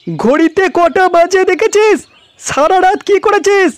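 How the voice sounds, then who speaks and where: A man voicing a scolding, long-winded old father in a comedy cartoon, with a pitched-up voice. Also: lively intonation, unhurried. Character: nagging, scolding old village father, comically crude.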